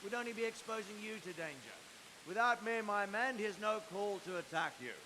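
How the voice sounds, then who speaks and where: A man playing a gruff, sardonic middle-aged knight lord in a medieval video game, calling out across a distance. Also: high voice, fairly quick, natural voice. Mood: grave, resolute. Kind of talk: dramatic video game acting. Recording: hissy, stormy game audio, reverberant.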